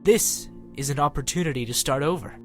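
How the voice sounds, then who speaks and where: Guy as exasperated robot commander, highish voice, sarcastic, faint music. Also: brisk, fairly bright tone, close to an everyday voice. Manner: sardonic, pragmatic. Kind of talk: audio drama acting.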